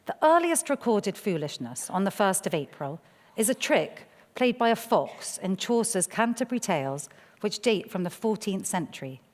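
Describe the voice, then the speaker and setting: A woman's voice: mid-range voice, very animated, moderate pace. A woman presenter giving a scripted introduction to a reading on a theatre stage. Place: theatre stage before an audience. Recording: reverberant theatre hall.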